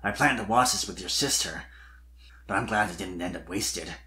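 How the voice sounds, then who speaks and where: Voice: low-pitched, moderate pace, pushed and bright, pitched below the performer's own voice. A performer voicing a shy, kind young male dragon in a fan fiction reading, nervous and hesitant. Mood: flustered. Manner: stammering, hesitant, bashful.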